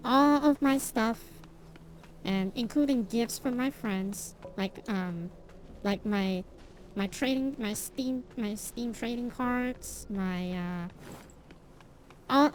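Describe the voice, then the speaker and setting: A woman's voice: mid-range voice, poor audio. A woman streamer, not a native English speaker, chatting casually with her viewers. She rambles with lots of fillers and restarts, laughing at herself now and then. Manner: self-deprecating, laughs at herself. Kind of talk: casual chat with stream viewers while gaming.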